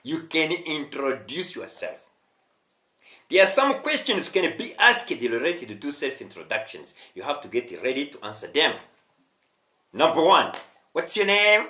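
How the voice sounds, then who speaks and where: A young man with a Rwandan accent leads an English lesson, pushing each point with emphasis and a lively, swooping delivery. His tone is bright and forward, and the sound bounces around an echoey room. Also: a little high, a little slow. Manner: emphatic, checks in with "huh?" and "hmm?". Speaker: English teacher.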